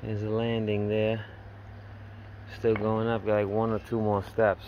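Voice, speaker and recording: A middle-aged man talks plainly through his do-it-yourself project, holding his phone as he walks around outdoors. His voice sits low, with a light hiss of open air behind it. His talk is rough and unpolished, the way a working man explains a job. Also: completely flat delivery, unhurried, darkish tone, dull audio. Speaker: DIY home builder filming his project. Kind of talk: casual walkthrough narration. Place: outdoors at a house, in a dug-out basement stairwell. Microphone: handheld phone camera.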